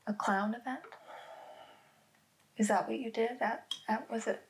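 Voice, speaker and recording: Woman playing a hushed, gentle police interrogator. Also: a little high, fairly even intonation, lightly acted. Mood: calmly probing. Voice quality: soft, hushed, often slipping into whispers. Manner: slow, reassuring, echoes back answers. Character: gentle, patient female police interrogator taking notes. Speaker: ASMR performer. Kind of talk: improvised soft-spoken ASMR roleplay.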